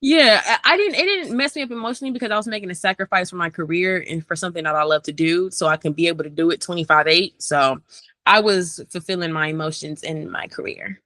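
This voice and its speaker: Young female rapper, bright and lively, talks fast and loose, laughs easily; dry close mic. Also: on the low side, dry recording. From Maryland, USA. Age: young adult. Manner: animated, chatty, laughs often. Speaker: interview guest, rapper. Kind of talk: casual interview chat.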